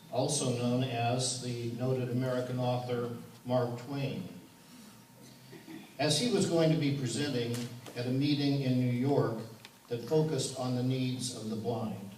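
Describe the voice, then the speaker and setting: A man's voice: medium pitch, fairly even intonation, a little slow, a little mellow, close to an everyday voice. A man playing a courteous master of ceremonies, formally introducing speakers on stage. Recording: echoey room, distant mic, faint noise.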